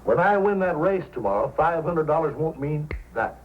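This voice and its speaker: A man's voice: fairly high pitch, expressive, normal speed, slight background noise, close to an everyday voice. An actor playing a confident, fatherly male sled-dog racer with a folksy, good-natured manner in an old-time radio drama. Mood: hearty, fatherly. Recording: dull old radio recording with music.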